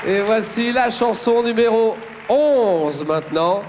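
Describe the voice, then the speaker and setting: High-voiced male TV host, loud crowd. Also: takes it slowly, loud music behind the voice. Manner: lively, prompting, announcing. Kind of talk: live TV presenting. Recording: live theatre broadcast with audience.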